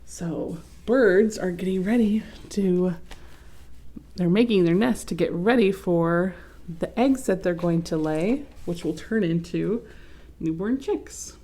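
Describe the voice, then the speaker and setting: A woman reading a children's picture book aloud as host, expressive, with explanatory asides. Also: lowish voice, slow.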